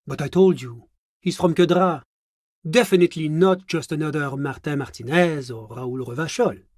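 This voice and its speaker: A man's voice: on the high side, moderate pace, a little mellow, no echo, studio quality, lightly acted. A man voicing a smug, genteel international bureaucrat in a video game, urbane, coy and condescending in a theatrical way. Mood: coy, amused. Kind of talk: video game character dialogue.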